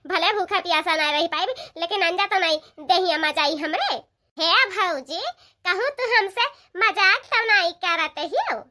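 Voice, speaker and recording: An actor voicing an excitable village woman in a cartoon comedy, with a childlike, sped-up voice. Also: very high-pitched, unhurried, exaggerated voice. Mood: eager, doubting. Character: animated village women, sisters-in-law celebrating a festival, excitable.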